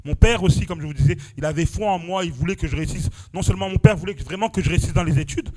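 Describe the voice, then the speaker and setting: A young French man giving his testimony at a church prayer vigil. His voice sits a little high for a man, and he surges and drops in intensity, tagging nearly every phrase with "amen" or "alléluia". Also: rapid-fire, darkish tone. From France. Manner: exclamatory, punctuates phrases with "amen" and "alléluia", rising and falling intensity. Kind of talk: sermon testimony.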